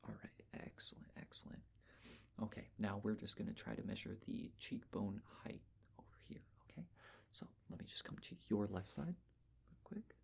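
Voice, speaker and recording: A man speaking in a breathy whisper, gently and reassuringly giving step-by-step instructions in an ASMR personal-attention roleplay. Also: fairly low pitch, expressive, normal speed. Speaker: ASMR roleplayer taking face measurements.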